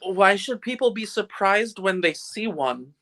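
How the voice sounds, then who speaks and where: A man's voice: sits high, expressive, measured pace, sharp tone, full character voice. Guy playing a sweet innocent old angel, second class, quaint and earnest, old radio drama style. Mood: guileless. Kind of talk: old-time radio play live reading.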